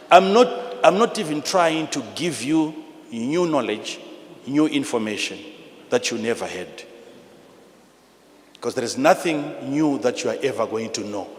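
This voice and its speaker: A man with a Zimbabwean accent preaching a sermon in an emphatic, repetitive, teacherly manner, swinging between hushed and loud delivery. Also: medium pitch. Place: large church hall with PA. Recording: live in a large reverberant hall, noisy.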